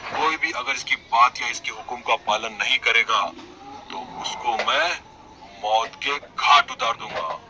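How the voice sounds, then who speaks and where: A man plays a proud lion king from a cartoon animal fable. His voice swings widely in pitch as he commands and threatens like a stern ruler and father. The recording has clear noise behind it. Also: wildly swooping pitch, unhurried, exaggerated voice. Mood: commanding. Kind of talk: Hindi animated moral story acting. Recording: noisy background behind voice.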